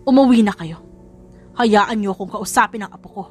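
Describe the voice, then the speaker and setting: A woman's voice: medium pitch, a little fast, soft background music, lightly acted. A woman voicing a stern but loving old grandfather in a dramatised romance audiobook. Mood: annoyed, firm.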